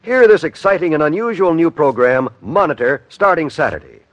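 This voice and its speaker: A man's voice: on the high side, big pitch swings, a little fast, no echo. A man with an American accent doing scripted 1950s radio announcing. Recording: dull, dry old radio recording. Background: faint music.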